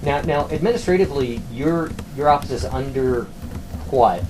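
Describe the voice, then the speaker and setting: A man with a medium-pitched voice discusses work on a conference call. He speaks like an academic, feeling out his phrases in a halting, measured way. Noticeable noise sits behind his voice. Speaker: university academic, former program director.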